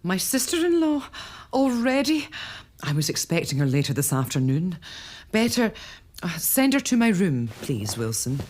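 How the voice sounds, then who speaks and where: A woman's voice: lowish voice, big pitch swings, normal speed, darkish tone. A woman voicing an eager, inquisitive young lady of the house in an audio drama. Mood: curious.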